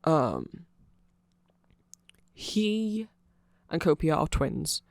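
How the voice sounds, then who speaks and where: A man's voice: fairly high pitch, very animated, very slow, gravelly, no echo, studio quality, heavily acted. A man voicing a nerdy vampire who gives a rambling, earnest and fannish ASMR explanation full of fillers. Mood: earnest, sympathetic.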